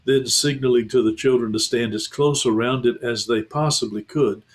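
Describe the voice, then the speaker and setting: Pastor reading a storybook aloud. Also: male voice, mid-range voice. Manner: calm, measured, slows for tension.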